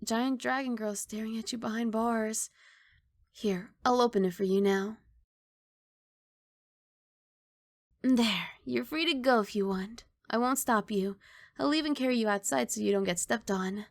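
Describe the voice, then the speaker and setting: A woman voicing a kindly young giant dragon girl in an ASMR roleplay, speaking in a soft, coaxing, reassuring and slightly bashful way. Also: fairly high pitch, normal speed, gravelly, dry recording. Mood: reassuring, gentle.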